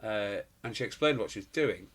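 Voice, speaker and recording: Husky middle-aged man. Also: fairly low pitch, lively intonation, a little fast, a bit bright. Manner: rambling, anecdotal, many fillers and restarts. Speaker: pantomime performer and guest. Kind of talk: casual interview chat.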